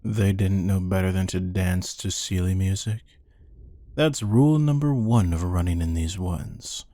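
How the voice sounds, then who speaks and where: A man playing a menacing, amused hired killer who taunts a captive in a deadpan, unhurried ASMR roleplay monologue. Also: deep voice, no room sound. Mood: wry, musing.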